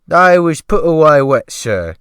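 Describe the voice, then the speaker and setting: A man's voice: a little high, very animated, unhurried, a bit bright, smooth, dry recording, studio quality, pitched above the performer's own voice. A man voicing an oily, servile, cheerful ship's cook with a smirking, ingratiating manner for an audiobook. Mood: smirking, fawning. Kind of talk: dramatic audiobook character voice.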